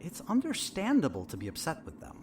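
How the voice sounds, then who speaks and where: A man playing a sinister, smug therapist in a horror audio drama, measured and theatrical, with a falsely soothing, condescending manner. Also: a little high, huge pitch swings, a little hiss or hum. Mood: probing, needling.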